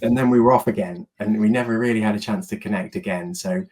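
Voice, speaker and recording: A man hosting a podcast interview, upbeat and quick with short affirmations. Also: on the low side, a little mellow. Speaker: podcast host.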